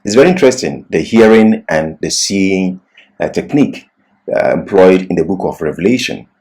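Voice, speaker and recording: A man giving a religious seminar lecture as a Bible class teacher, with deliberate, emphatic phrasing broken by pauses mid-sentence. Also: low-pitched, animated, darkish tone.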